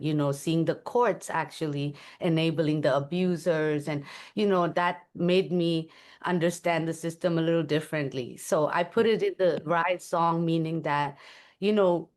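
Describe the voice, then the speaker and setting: A woman from Thailand, an interview guest and musician, speaking earnestly and reflectively about her life. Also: sits low. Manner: earnest, reflective, with soft laughs. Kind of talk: conversational interview.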